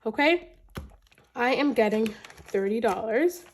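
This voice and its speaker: A woman in her thirties from Canada, chatting casually to camera about her budget, her voice a little raspy and congested from a cold, talking in a rambling way with many restarts. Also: fairly high pitch, lively intonation, measured pace, a little hiss or hum. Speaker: budgeting vlogger.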